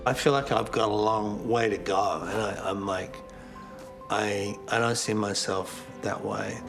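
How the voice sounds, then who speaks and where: A man's voice: fairly low pitch, fairly even intonation, unhurried, over music. A man speaking reflectively in a documentary interview.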